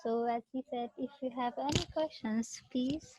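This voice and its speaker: A woman's voice: sits high, moderate pace, a little mellow, a little hiss or hum, low-quality recording. A woman hosting a webinar over a video call, giving polite, formal introductions. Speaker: webinar host.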